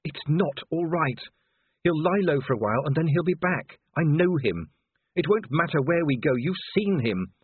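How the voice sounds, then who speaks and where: A man's voice: on the high side, lively intonation, normal speed, husky, no room sound, narrow, tinny audio, pitched above the performer's own voice. A man voicing a wounded, fearful young woman in an audiobook, distressed and bitterly certain of doom.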